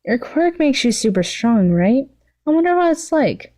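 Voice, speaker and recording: A woman's voice: high-pitched, sing-song, moderate pace, dark tone, voice pushed up. A woman voicing an irritable, cocky, sarcastic young female supervillain in an exasperated, dismissive manner for a fan story. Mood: smug.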